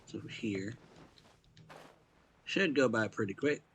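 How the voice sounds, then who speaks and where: A man giving casual, low-key let's play commentary, unhurried and muttering as if to himself while he plays. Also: slightly rough. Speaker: gaming streamer.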